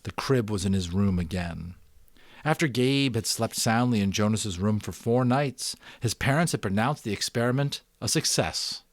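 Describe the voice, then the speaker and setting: A middle-aged man who reads and hosts an audiobook in an expressive, earnest way, with casual commentary. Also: on the low side, normal speed, dry recording, studio quality. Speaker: audiobook reader and host. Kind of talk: dramatic book narration with casual commentary.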